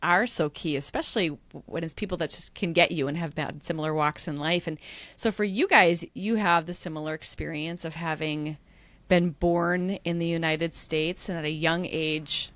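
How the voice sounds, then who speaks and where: A woman's voice: low voice, steady tone, normal speed, fairly bright tone, no echo. A woman hosting a podcast, asking questions in a conversational way. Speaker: podcast host. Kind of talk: interview host.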